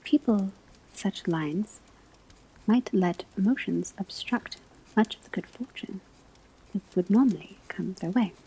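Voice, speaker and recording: Breathy whispering witch-school professor played by a woman. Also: medium pitch, dark tone, low-quality recording. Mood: calm, instructive. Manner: measured, deliberate phrasing with frequent pauses. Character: calm, scholarly witch-school divination professor lecturing on palmistry. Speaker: ASMR performer playing a teacher. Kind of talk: whispered ASMR classroom roleplay, scripted lecture.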